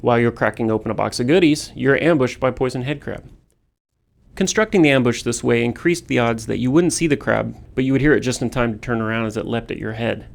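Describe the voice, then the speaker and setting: A man's voice: mid-range voice, fast, vocal fry. A man who develops games, reading scripted commentary on level design. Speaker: game developer.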